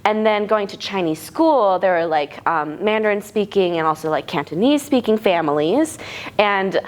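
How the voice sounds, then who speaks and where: A woman's voice: medium pitch, expressive, normal speed. A young woman in her twenties, an actress from Arizona, talking casually in an interview with frequent fillers, restarts and laughs. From Tucson, Arizona, US. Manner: hesitant, many fillers and restarts, laughs often. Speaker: actress guest.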